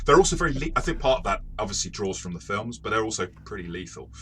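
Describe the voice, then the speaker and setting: A man with a very low voice and a bright, forceful tone chats casually about tabletop gaming. He speaks as a reviewer and game master, jokey and often reworking his phrases as he goes. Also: very animated, a little fast, slight background noise. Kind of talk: casual two-person review chat.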